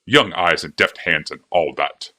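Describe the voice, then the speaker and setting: A male narrator voicing an excitable, groveling shopkeeper who speaks in a rapid, gushing cadence. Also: deep voice, pitched below the performer's own voice. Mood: fawning. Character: fawning, excitable older male clockmaker.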